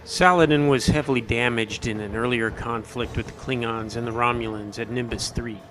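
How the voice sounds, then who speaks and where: A man's voice: mid-range voice, steady tone, normal speed, a bit bright, natural voice. A man playing a seasoned, modest starship captain recounting his missions in a sci-fi audio drama. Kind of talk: audio drama acting, storytelling. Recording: music bed under the voice.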